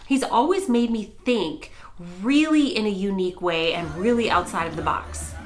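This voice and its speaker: A woman's voice: mid-range voice, normal speed, faint music behind. An American woman in middle age, talking earnestly and enthusiastically to camera in a personal vlog. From United States. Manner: earnest and enthusiastic, warm storytelling. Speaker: vlogger and news anchor.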